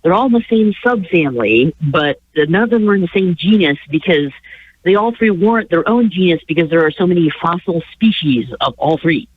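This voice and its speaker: A woman co-hosting a radio chat with a stuffy nose from a cold, rambling with halting restarts and frequent chuckles. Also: on the low side, animated, husky. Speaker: radio show co-host. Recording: tinny phone line.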